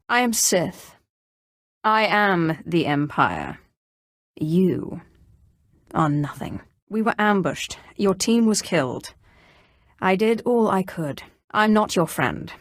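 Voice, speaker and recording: Commanding female Sith sorceress, video game villainy, imperious, threatening, deliberate, very dry close recording. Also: fairly low pitch, dry recording, full character voice. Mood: cool, curt. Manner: haughty, commanding, deliberate delivery. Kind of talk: scripted game dialogue.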